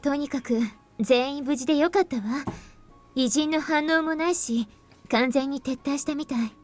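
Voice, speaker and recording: A woman playing a composed, serious teenage girl in a visual novel, speaking in a measured, matter-of-fact way. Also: high-pitched, soft background music, lightly acted. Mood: relieved, composed. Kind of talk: Japanese visual novel voice acting.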